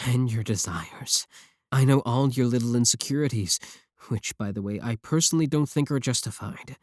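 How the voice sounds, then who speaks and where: A man's voice: medium pitch, darkish tone, no room sound, clean studio sound. A man voicing an obsessive, possessive yandere streamer in an ASMR roleplay, speaking straight to the listener with intimate, pleading intensity.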